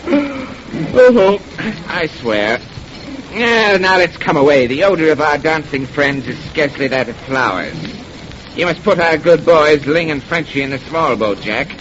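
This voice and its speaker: A sly pirate captain who commands with silky mockery. His theatrical voice swings widely in pitch. It comes from an old radio horror play, dull in sound with some hiss. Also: lively intonation, a little slow, fairly bright tone. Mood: mocking, grand. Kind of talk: old-time radio horror drama acting. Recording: muffled, noisy old radio recording.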